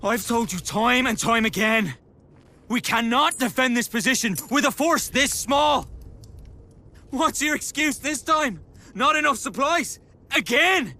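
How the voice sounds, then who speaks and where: A man's voice: very high-pitched, expressive, measured pace, sharp tone, slight background noise, full character voice. A man playing a hot-tempered, defiant mercenary vice captain in a video game, accusatory and emphatic. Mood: indignant, angry. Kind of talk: video game cutscene acting.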